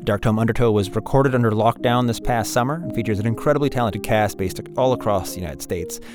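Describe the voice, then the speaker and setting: A man's voice: lowish voice, fast talker. A man hosting a podcast, making an enthusiastic, semi-scripted announcement. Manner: upbeat, enthusiastic. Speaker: podcast host and producer. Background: music.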